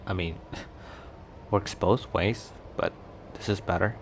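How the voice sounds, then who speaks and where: Low, slow, cocky werewolf played by a man. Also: steady tone, a little hiss or hum. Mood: tender, playful. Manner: teasing, chuckling between phrases. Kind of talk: ASMR romantic roleplay.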